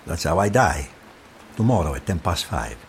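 Low, deadpan doom-laden man, desperate and grave, audio drama. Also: normal speed, slight background noise. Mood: fatalistic. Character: fatalistic Venetian man desperate to escape death.

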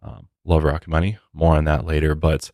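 A man's voice: extremely low pitch, little pitch movement, brisk, a little mellow, no echo, studio quality. A man with an American accent hosting a podcast, chatting casually to open the show. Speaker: podcast host. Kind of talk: casual podcast intro and chat. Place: podcast studio.

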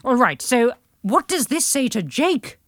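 A woman's voice: high-pitched, big pitch swings, a little slow, no room sound, studio quality. A woman co-hosting a podcast in casual chat, playful and jokey with frequent giggles. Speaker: podcast co-host.

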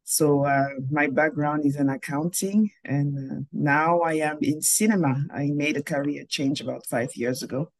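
A woman's voice: low voice, fairly even intonation, moderate pace, hardly any pauses, no echo. A woman in her forties from Cameroon, a filmmaker answering interview questions over a video call, thoughtful and often rephrasing mid-sentence. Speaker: filmmaker guest.

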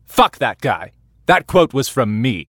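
A man playing a cocky, dry-witted Victorian gentleman detective, pompous and teasing, in a comedy audio drama. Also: medium pitch, big pitch swings, unhurried, no room sound.

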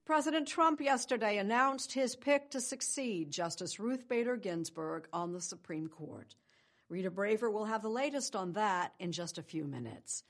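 An older woman hosting a morning news program, reading scripted introductions in a measured, polished way. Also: medium pitch, animated. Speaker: news show host. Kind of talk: scripted broadcast narration.

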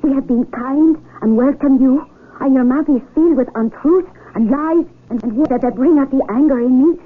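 A woman's voice: high voice, moderate pace. A woman acting in a radio drama as an earnest, sheltered young blind villager who speaks in simple, formal words. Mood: hurt, angry. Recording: tinny radio broadcast with faint noise.

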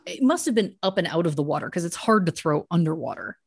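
A woman's voice: lowish voice, animated, fast talker, vocal fry, husky, dry recording, clean studio sound. A woman hosting a podcast, retelling a story as mock-serious true crime in an emphatic, conversational way with asides. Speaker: podcast host telling a story.

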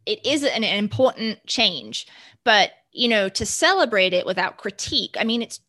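A woman's voice: medium pitch, very animated, moderate pace, sharp tone, dry recording. Candid woman co-host, dry sound. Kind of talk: casual conversation.

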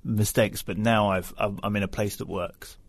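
A man's voice: lowish voice, steady tone, moderate pace, no echo. A man hosting an interview, asking curious, conversational questions. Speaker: interviewer, host.

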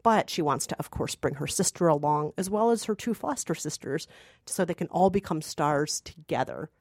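Lively, animated woman podcast host. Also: low voice, moderate pace, no room sound, studio quality. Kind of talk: lively film review chat.

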